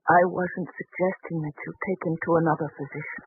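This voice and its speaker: A woman's voice: deep voice, fairly even intonation, brisk, breathy edge, dry recording. An actress playing a pleading, devoted mother in biblical times, earnest and emotional, in 1940s radio drama style. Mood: gentle urging. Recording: muffled, noisy old 1940s radio broadcast.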